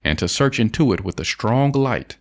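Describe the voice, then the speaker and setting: A man reading scripted prose as an audiobook narrator, in a formal, measured and emphatic manner. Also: medium pitch, expressive. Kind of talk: scripted audiobook narration of an old self-help essay.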